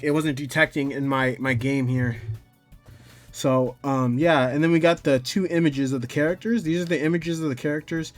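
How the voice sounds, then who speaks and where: A man hosting a casual how-to tutorial, talking in a hesitant, rambling way and often correcting himself. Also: a little high. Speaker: tutorial host. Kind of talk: casual screen-recorded software tutorial.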